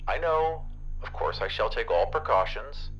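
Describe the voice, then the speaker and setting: A man's voice: mid-range voice, animated, normal speed, fairly bright tone, soft background music. An actor playing a restless, insistent son in a futuristic audio drama, his voice filtered as if over a radio. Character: restless, queer-tempered adult son in a mechanised future. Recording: dull, band-limited radio-like sound.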